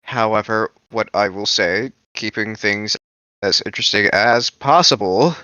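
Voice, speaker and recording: Bright-voiced man in casual debate. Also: fairly low pitch, a little slow, clear, resonant tone, dry recording, muffled recording. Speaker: panelist in a group discussion.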